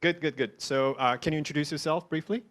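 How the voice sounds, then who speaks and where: A man's voice: fairly high pitch, fast, a bit bright. A man moderating an online Q&A session, speaking hesitantly with many ums and uhs. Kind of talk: hosting an online Q&A.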